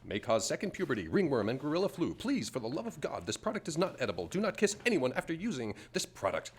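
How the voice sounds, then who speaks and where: A man's voice: fairly high pitch, sing-song, fast talker. A man playing an old-time radio announcer and narrator, reading the script in a dramatic, emphatic way. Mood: salesman pitch. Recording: faint noise in live studio.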